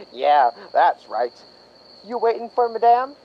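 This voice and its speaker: A slow, very animated voice on a dull recording, a man acting a folksy male gate guard. He is chatty and jovial, in radio-play style. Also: high voice, slight background noise, exaggerated voice.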